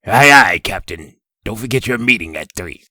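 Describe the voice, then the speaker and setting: A man playing a grumbling young slacker superhero in a comic dub, with a rough voice and an informal, whiny manner. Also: lowish voice, expressive, normal speed, bright, forceful tone, slight background noise. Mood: sheepish. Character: grumbling slacker superhero with stumpy rock fingers.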